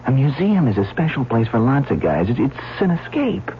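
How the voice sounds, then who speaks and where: An actor playing a skeptical, level-headed museum guard in a radio drama, reasoning in a dismissive way. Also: male voice, mid-range voice, big pitch swings, moderate pace. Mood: impatient. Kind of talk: old-time radio drama dialogue. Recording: dull, hissy old radio recording.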